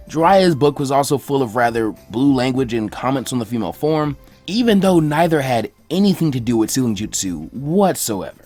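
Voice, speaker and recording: A man reading fan fiction aloud as an expressive scripted story narration. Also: medium pitch. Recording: faint music behind the voice. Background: faint background music.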